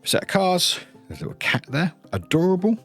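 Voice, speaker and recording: A man's voice: high-pitched, wildly swooping pitch, brisk, a bit bright. Man, enthusiastic, over background music. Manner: enthusiastic, rambling. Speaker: reviewer and unboxing host. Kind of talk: casual unboxing commentary.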